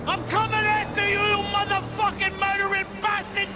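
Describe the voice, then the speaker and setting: A man's voice: very high-pitched, completely flat delivery, moderate pace, heavy background noise. An actor playing a vengeful mob boss and father in a video game, bellowing threats. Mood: enraged. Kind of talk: video game cutscene acting. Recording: loud music over the voice.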